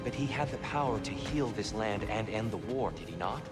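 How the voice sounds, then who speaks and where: An actor playing a wise, gentle, weary god in a fantasy video game, with formal, measured, reflective phrasing. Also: male voice, lowish voice, animated, loud music behind the voice, lightly acted. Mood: grave, reflective. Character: wise, gentle, weary Norse god, peace-minded elder companion. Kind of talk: dramatic video game acting.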